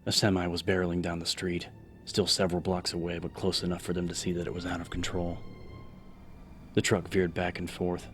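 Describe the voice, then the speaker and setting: Very deep, even-toned male horror narrator. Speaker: audiobook narrator. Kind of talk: dramatic scripted horror narration. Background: background music.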